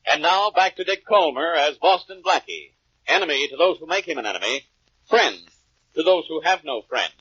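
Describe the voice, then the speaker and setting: A man's voice: a little high, lively intonation, normal speed, sharp tone, breathy edge. A man announcing a 1940s radio show in a dramatic style. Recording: dull old radio recording.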